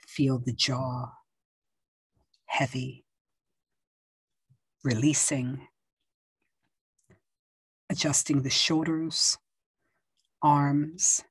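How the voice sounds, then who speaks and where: A woman leading a yoga nidra meditation, speaking softly and soothingly with long pauses. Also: very deep voice, breathy edge, no echo, low-quality recording. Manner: slow, soft and soothing, with long pauses and gentle instructions. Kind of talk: guided meditation.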